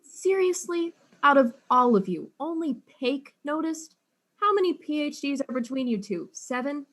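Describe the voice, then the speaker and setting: A woman playing a brisk, wry, world-weary detective in a comedic audio drama. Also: high-pitched, animated, fairly bright tone. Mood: incredulous.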